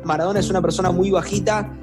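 A man's voice: high-pitched, fairly even intonation, quick pace, over music. A young man from Rosario, Argentina, speaking with a Rosario accent, recounting a personal story in a candid, reflective way. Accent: Rosario Argentine Spanish. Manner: candid, reflective recollection with occasional laughter. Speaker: interviewee.

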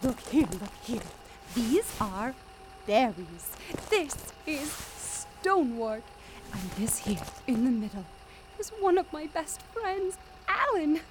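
An actress playing an eccentric, excitable naturalist woman in an audio drama, cheerful and naive, with prim, gushing speech. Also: sits high, slow pace, a little hiss or hum, heavily acted, rough recording. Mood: delighted, doting. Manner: prim formal wording, gushing enthusiasm.

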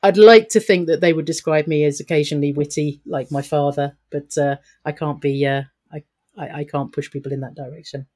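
A woman's voice: sits low, fairly even intonation, fairly quick, darkish tone. A woman talking as a guest in an interview, upbeat and chatty, laughing often and restarting her sentences. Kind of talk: podcast interview answers.

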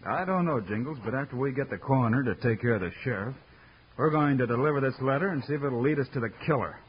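An actor playing a calm, commanding cowboy marshal in a radio western, terse and easy, with an American Western accent. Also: male voice, medium pitch, quick pace, lightly acted. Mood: calm, resolute. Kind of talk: 1950s radio western acting. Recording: dull, noisy old radio transcription.

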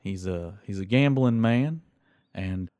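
A man's voice: fairly low pitch, slow, warm tone, clean tone. A man casually presenting his roleplaying character as a performer on a tabletop audio show.

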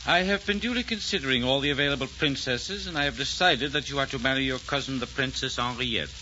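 Sharp, imperious old king. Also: medium pitch, quick pace. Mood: formal. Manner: pompous, commanding. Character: stern, imperious old French king and father. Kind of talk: 1940s radio acting. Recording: muffled 1940s radio recording, faint noise.

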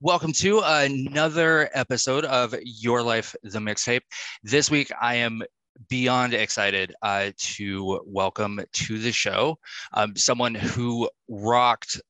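Male podcast host, gushing and enthusiastic, slow-paced delivery, bright forward voice, dry close sound. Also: mid-range voice, fairly even intonation, no room sound, poor audio. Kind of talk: casual interview chat.

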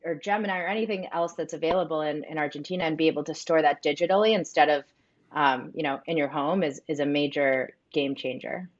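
Low-voiced woman, earnest interview guest. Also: steady tone, quick pace, muffled recording. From United States. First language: Spanish. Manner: earnest, reflective, frequent fillers like "um" and "like". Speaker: company co-founder. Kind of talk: explanatory interview answers.

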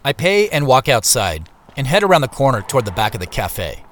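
Reflective traveler narrating, lively intonation. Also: male voice, mid-range voice, fairly quick. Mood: reflective, observant. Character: reflective male traveler narrating his own journey. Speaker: narrator and protagonist. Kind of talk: scripted first-person narration.

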